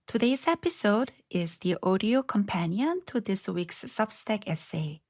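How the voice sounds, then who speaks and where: A middle-aged woman hosting her podcast, reading scripted narration in a reflective, unhurried way, her voice a little scratchy from illness. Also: medium pitch, dry recording, clean studio sound. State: slightly scratchy voice after strep throat. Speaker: podcast host.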